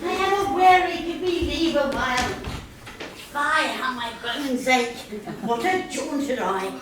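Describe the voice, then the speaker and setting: Old nurse, stage Shakespeare, female actor: high, unhurried, dramatically groaning and teasing, heard distantly in a big echoing space. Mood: weary, complaining. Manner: dramatic groaning, drawn-out delivery. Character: teasing, complaining old nurse, servant and confidante. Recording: echoey hall, distant mic, faint noise.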